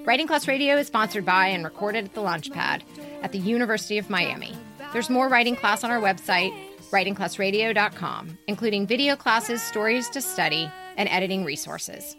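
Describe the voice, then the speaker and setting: A woman's voice: mid-range voice, animated, a little fast, music in the background. A woman co-hosting a podcast as a story editor, talking in a direct, emphatic, conversational way.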